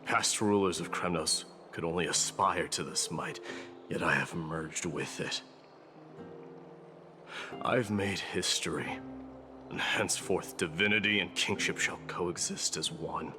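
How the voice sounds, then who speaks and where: A solemn, grandiose warrior king of a fantasy game. His voice sits low and moves slowly. He speaks in measured, regal phrases with music clearly behind him. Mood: proud, solemn. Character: proud warrior king infused with a war god's might. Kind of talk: scripted game voice acting.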